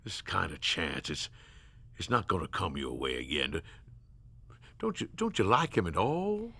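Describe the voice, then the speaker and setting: An actor playing a pushy, blustering middle-aged American father in a radio drama, with a gravelly voice. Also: sits low, big pitch swings, measured pace, pushed and bright, exaggerated voice. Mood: coaxing.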